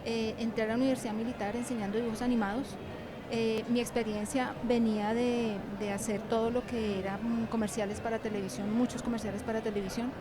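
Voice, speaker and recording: A middle-aged woman giving conversational interview answers in an informal, meandering way. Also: fairly high pitch. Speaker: university animation lecturer and author, interview guest. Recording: clear background noise of busy venue. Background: ambient noise of a book fair.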